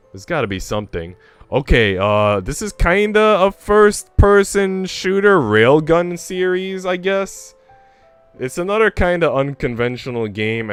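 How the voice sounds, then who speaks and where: Guy just rambling on stream, slangy n chill talk, clean smooth voice, music in the back. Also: lowish voice. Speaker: game streamer. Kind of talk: casual livestream chat. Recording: music playing behind the voice.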